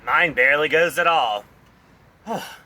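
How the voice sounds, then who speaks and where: Casual cartoon human pal, female actor, lowish and bright, self-deprecating, animated delivery, faint music behind. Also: unhurried. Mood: candid. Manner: casual, hesitant, rambling. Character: easygoing, self-deprecating human friend with a crummy car. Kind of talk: animated puppet comedy acting.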